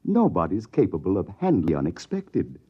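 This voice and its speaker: A blunt, folksy analyst from a vintage radio drama. His low voice jumps about in lively swoops as he wisecracks in slang. The recording is dull and lo-fi. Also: a little fast, slightly rough, heavily acted. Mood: blunt, shrewd. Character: folksy, brash freelance analyst, small silver-haired man. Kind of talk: 1950s radio drama acting.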